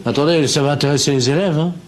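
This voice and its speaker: A man playing an earnest appliance salesman on a prank phone call, matter-of-fact and deadpan. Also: on the high side, fast, lightly acted. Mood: deadpan, insistent. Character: earnest male appliance shop phone salesman spouting absurdities. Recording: noisy telephone line.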